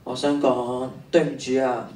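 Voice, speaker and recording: A man's voice: medium pitch, level tone, measured pace, mellow, natural voice. A teenage boy with a Hong Kong Cantonese accent playing a troubled schoolboy hiker in a radio drama. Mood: earnest. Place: stage of a large hall. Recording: echoey stage, distant mic, faint noise.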